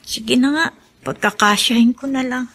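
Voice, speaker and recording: Plaintive, higher-voiced wife. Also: female voice, steady tone, moderate pace, fairly bright tone. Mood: dismayed, resigned. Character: worried, put-upon wife short of money. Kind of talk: Filipino radio drama acting.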